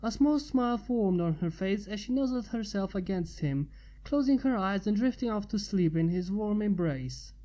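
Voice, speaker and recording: A woman's voice: mid-range voice, warm tone, rough recording. A woman reading fan fiction aloud as scripted narration.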